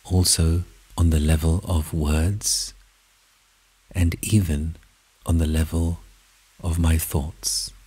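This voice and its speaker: A man with an extremely deep, very breathy voice guides a meditation. He keeps his voice flat and slow, speaking in short, measured phrases with long pauses, soft and sometimes close to a whisper.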